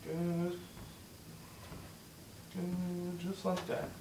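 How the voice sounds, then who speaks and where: A man teaching a movement drill step by step, encouraging and repeating himself, slightly hoarse and short of breath. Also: high voice, completely flat delivery, drawn-out words, reverberant, distant mic, background noise. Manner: encouraging, repeats "just like that" and "good". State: losing his voice, a bit out of breath. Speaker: instructor. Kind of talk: step-by-step demonstration lesson.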